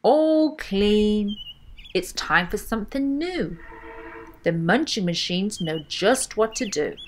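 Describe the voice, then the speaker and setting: A young woman reading a children's storybook aloud in an expressive style, with deliberate, emphatic phrasing. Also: medium pitch, slight background noise. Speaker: children's book reader.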